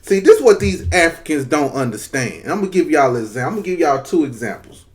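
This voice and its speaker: A man in his forties talks in a slightly higher register than most men. He delivers blunt, mocking commentary and spins his points into animated little stories. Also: fast talker. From Mississippi, United States. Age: 40s. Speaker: commentary host. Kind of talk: reaction commentary.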